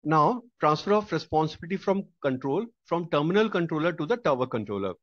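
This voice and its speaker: A man's voice: a little high, animated, fast, fairly bright tone, no echo. A man narrating a slide-based aviation tutorial as instructor, explaining step by step with some hesitations. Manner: explanatory, with hesitations and repeated restatements. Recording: dull lo-fi dry voice-over.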